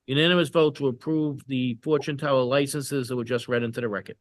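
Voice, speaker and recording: A man's voice: medium pitch, steady tone. A man chairing a town select board meeting, running through motions and roll call votes in set formal phrases. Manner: brisk formulaic procedure.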